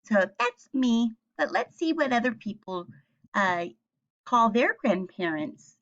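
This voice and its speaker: A woman teacher reading a picture book aloud and speaking to young children with simple questions and clear explanations. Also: a little high, big pitch swings, slow pace, a bit bright, lo-fi sound.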